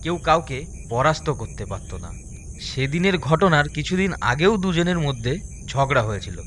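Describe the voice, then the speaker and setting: A man's voice: a little high, big pitch swings, moderate pace, a bit bright, slight background noise. A man narrating a scripted story as a storyteller.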